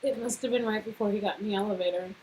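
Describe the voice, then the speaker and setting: A woman's voice: medium pitch, steady tone, rapid-fire, a little mellow, a little hiss or hum, low-quality recording. A woman co-hosting, reacting to a story game in casual chat. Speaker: channel co-host.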